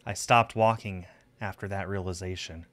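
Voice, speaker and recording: Bright-voiced man reading a visual novel. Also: deep voice, steady tone, moderate pace. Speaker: streamer. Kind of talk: narrating a visual novel aloud on a livestream.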